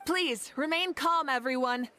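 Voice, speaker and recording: A woman voicing a poised, courteous official in a video game, with a calm, formal and tactful manner. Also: sits high, a little slow, soft background music. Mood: composed, formal. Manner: polite, composed, formal.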